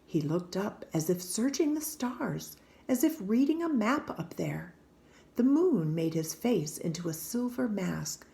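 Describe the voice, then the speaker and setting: A woman reading a children's picture book aloud in a gentle, hushed and expressive storytelling manner. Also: mid-range voice, a little slow, gravelly. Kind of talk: gentle scripted read-aloud narration.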